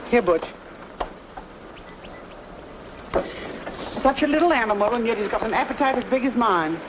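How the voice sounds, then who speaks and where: A woman's voice: on the high side, lively intonation, fast, natural voice. An actress playing a chatty, scatterbrained, doting lady in an old-time radio drama, with a fluttery manner. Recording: dull, noisy old radio recording.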